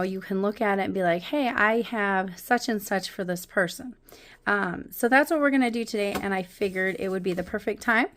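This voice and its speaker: A woman in her thirties hosting a casual crafting video, her voice still worn from a cold, talking in a rambling way with lots of ums and likes. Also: medium pitch. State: recovering from a cold, voice not fully back. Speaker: craft channel host. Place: indoors at a craft table.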